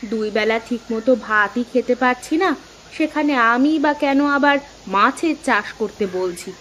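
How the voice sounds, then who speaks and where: A woman voicing a practical, reassuring village housewife and mother in a cartoon, calm and homely in manner. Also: fairly high pitch, moderate pace, slight background noise, lightly acted. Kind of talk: cartoon voice acting. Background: plucked mandolin music.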